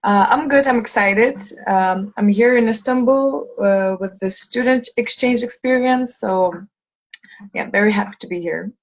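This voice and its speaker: A woman's voice: a little high, measured pace. A woman in her twenties from Lithuania, head of a fundraising team, talking earnestly on a video call with many fillers and restarts. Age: 20s. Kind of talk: casual video call chat. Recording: dull lo-fi sound.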